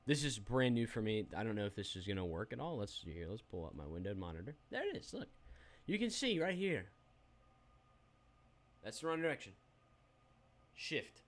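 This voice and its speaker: A man's voice: on the low side, big pitch swings, normal speed, long pauses. A young adult man giving a rambling, self-deprecating, unedited review of a phone app while sharing his screen.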